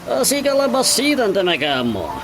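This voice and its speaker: An actor playing a smug older suitor in an Ilocano radio drama comedy, with drawn-out, exclamatory talk. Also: male voice, very high-pitched, huge pitch swings, exaggerated voice. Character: smug, moneyed older male suitor eager to marry. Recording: noisy radio drama track.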